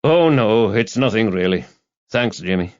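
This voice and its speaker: A man's voice: fairly low pitch, expressive, a little slow, highs cut off. A man voicing a wry, world-weary male detective sergeant in an audiobook, speaking in a dry, terse way. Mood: curt.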